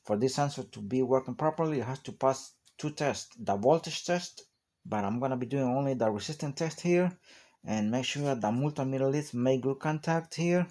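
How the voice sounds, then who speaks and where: A man's voice: mid-range voice, normal speed, a little mellow. A man giving a hands-on auto repair tutorial, explaining each step in a plain, practical way. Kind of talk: hands-on how-to narration.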